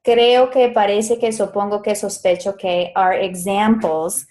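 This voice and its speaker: A woman's voice: medium pitch, steady tone, a little slow, a little mellow. A woman coaching students in a live online grammar lesson. She is chatty, often stops to correct herself and laughs at small mishaps. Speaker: Spanish interpreting coach.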